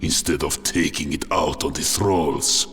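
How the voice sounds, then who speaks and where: An actor playing a grief-stricken, wrathful space marine with a harsh, rattling rasp, speaking slowly and bitterly in a dramatic audio story. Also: male voice, extremely low pitch, fairly bright tone. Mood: scornful. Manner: slow, bitter, archaic formal speech. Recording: long cavernous reverb, music underneath.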